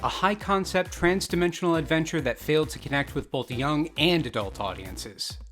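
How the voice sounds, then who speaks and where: A man's voice: a little high, lively intonation, normal speed, a bit bright. A man reading scripted narration for a film video essay. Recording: music bed under the voice. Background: background music.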